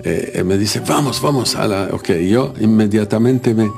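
A man from Lebanon, not a native speaker, haltingly searching for words as he recalls events in an interview. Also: lowish voice, creaky, darkish tone, faint music behind. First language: not Spanish. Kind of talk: spoken interview recollection.

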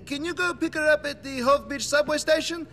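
An actor playing a frustrated, excitable, hard-luck immigrant cab-office boss in a video game, whining and complaining. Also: male voice, very high-pitched, brisk, slight background noise. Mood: hesitant, sheepish.

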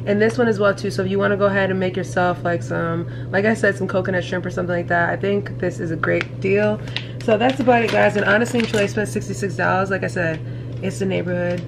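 A woman's voice: mid-range voice, gravelly. Casual woman vlogger in her thirties, congested and nasal, talks loosely to viewers at home over some background hiss. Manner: chatty, rambling, addresses viewers as guys. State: stuffy, nasal from allergies. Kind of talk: casual vlog chat to viewers. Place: small apartment kitchen, indoors.

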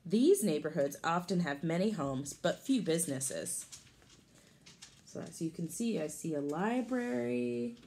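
A woman's voice: fairly low pitch, lively intonation, unhurried, dark tone. A woman in her thirties reading a picture book aloud to young children like a teacher, expressively, with casual explanatory asides. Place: small room at home, indoors. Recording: home recording with clear background noise.

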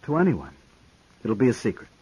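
Raspy, haughty gentleman suitor. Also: medium pitch, huge pitch swings, a little fast, heavily acted, poor audio. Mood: strained, earnest. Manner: formal, clipped, self-important. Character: stiff, proud, condescending gentleman suitor from back East. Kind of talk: 1950s radio western acting.

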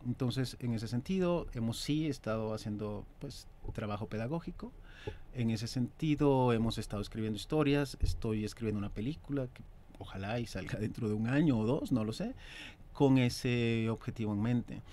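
A man in his thirties with a Mexican accent, an actor talking as a guest in a radio studio, measured and reflective. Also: medium pitch, dark tone, a little hiss or hum. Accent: Mexican Spanish. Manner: measured, reflective, speaks in long considered phrases. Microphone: desk mic on stand.

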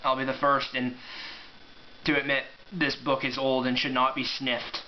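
A man's voice: mid-range voice, level tone, moderate pace. Young man, casual, slightly muffled home recording. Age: 20s. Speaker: amateur book reviewer. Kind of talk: casual vlog review with read-aloud passages. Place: small room at home, indoors. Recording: dull home camera audio, faint noise.

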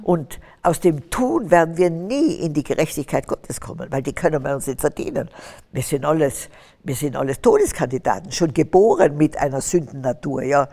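A woman's voice: low-pitched, big pitch swings, normal speed, dark tone. An older woman from Austria, telling her faith story as a guest on stage in a fervent, preaching way. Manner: fervent, preaching, rising to emphatic bursts. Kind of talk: testimony interview on stage. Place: stage with curtains, large hall. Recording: reverberant hall sound.

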